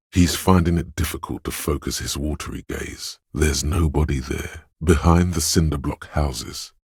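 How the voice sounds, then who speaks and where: A man voicing a watchful, detached inner sense of perception in a game, describing details in a slow, measured way with a deep, husky voice. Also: no room sound, studio quality, exaggerated voice. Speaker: voice actor for a game character. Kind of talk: scripted game voice lines.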